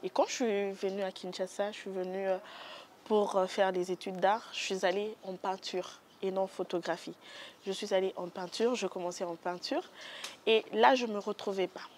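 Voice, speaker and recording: A young woman with a Congolese French accent, a photographer being interviewed in a studio, soft-spoken and hesitant with many pauses. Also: medium pitch, fairly even intonation, slight background noise. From Democratic Republic of the Congo. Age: young adult. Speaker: guest photographer. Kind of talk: interview answers.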